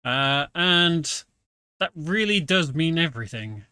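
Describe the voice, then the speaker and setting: A man's voice: on the high side, lively intonation, slow pace, sharp tone, a little hiss or hum. A British man giving casual let's-play commentary as a streamer, chatty and explaining the game with plenty of fillers. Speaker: streamer playing a video game. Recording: game music clearly audible underneath.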